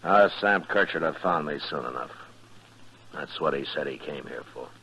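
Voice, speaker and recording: A man's voice: rumbling low, expressive, moderate pace, gravelly, bright, forceful tone, a little hiss or hum, heavily acted. An American man playing a weary, stern frontier marshal in a 1950s radio western, terse and plain-spoken. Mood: weary, resigned. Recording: dull lo-fi 1950s radio recording.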